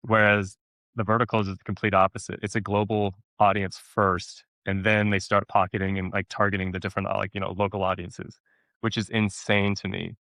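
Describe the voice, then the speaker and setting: A man chatting casually as an interview guest, telling stories in an animated way, repeating words for emphasis and acting out quotes. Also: on the low side, brisk, creaky, no room sound. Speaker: actor being interviewed.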